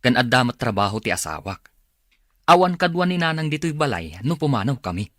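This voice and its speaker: An actor playing a working younger brother who defensively makes excuses, with an Ilocano accent, in a radio drama. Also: male voice, medium pitch, sing-song, brisk, a bit bright, no room sound, studio quality.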